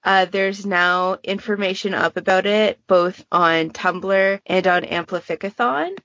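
A woman's voice: medium pitch, a little slow, fairly bright tone, no room sound, highs cut off. A woman hosting a podcast in a casual, chatty style. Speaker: podcast host.

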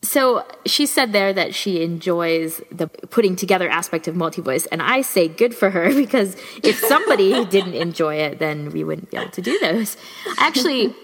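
A woman's voice: fairly low pitch, lively intonation, moderate pace, slightly rough. A woman co-hosting a podcast in casual, good-humoured chat. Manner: good-humoured, given to laughing as she talks. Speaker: podcast co-host.

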